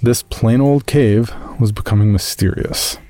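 A man's voice: lowish voice, a little slow, mellow, no room sound, lightly acted. A man playing an amateur caver, reading his uneasy journal as first-person horror story narration. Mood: measured, uneasy.

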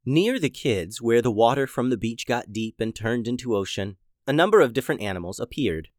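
A man's voice: medium pitch, animated, a little fast, no echo, clean studio sound. Playful man with a scratchy voice. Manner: playful and silly. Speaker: podcast host and storyteller. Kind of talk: kids' story narration.

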